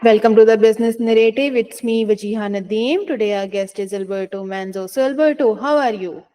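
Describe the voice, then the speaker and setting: High-voiced woman hosting a podcast. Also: fairly quick, dark tone, soft background music. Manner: polite, leans on "like" as a filler. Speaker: podcast host.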